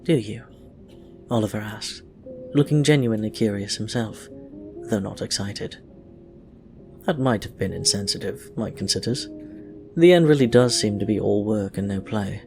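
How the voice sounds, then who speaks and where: A man's voice: fairly low pitch, expressive, normal speed, a little mellow, soft background music. A man reading fiction aloud as a scripted narration. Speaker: audio fiction reader. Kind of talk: scripted story narration with acted dialogue.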